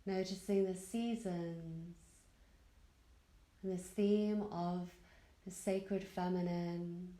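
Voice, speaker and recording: Slow, hushed-toned woman guiding meditation. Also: fairly low pitch, fairly even intonation, reverberant, rough recording. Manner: soothing, gentle, unhurried with long pauses. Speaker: yoga nidra teacher guiding a meditation. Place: indoor room at a retreat.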